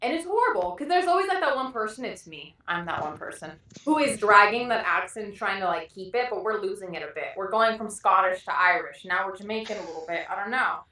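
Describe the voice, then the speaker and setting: A woman guest chatting over a video call in a bubbly, animated, giggly way. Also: medium pitch, fairly quick, few pauses, reverberant, recorded from a distance, poor audio.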